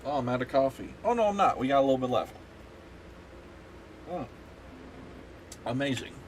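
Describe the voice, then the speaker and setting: A young man chats casually while playing a game, rambling and swearing with plenty of fillers. He sounds a little stuffed up, and his voice sits at an ordinary pitch. A faint noise hums behind him. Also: expressive, normal speed, darkish tone. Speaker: gaming streamer.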